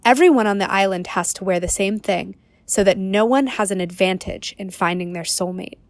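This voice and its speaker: A woman's voice: medium pitch, moderate pace, dry recording, lightly acted. A woman playing a serious, probing investigative reporter in a documentary-style radio play. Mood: grave, intriguing. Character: earnest, suspicious undercover female investigative reporter.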